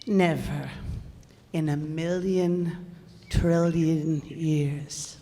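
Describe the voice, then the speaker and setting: A woman's voice: deep voice, steady tone, very slow, dark tone. A woman acting an older female figure in a radio drama, with a plaintive delivery. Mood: flat, resigned. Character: old Mrs Bentley, and grieving tender foster mother Anna. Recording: echoey hall, long reverb.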